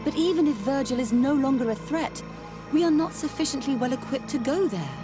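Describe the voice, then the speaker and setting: Earnest, high-voiced religious sister. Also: female voice, brisk, music in the background. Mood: earnest, hopeful. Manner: expressive, formal, emotional. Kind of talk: dramatic video game acting.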